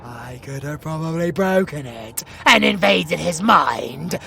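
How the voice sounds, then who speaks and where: A British man playing a sadistic supervillain in an audio roleplay, menacing and gleeful, with a theatrical, taunting, drawn-out delivery. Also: a little high, animated, gravelly, sharp tone, full character voice. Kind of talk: villain audio roleplay, dramatic acting. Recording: music behind the voice.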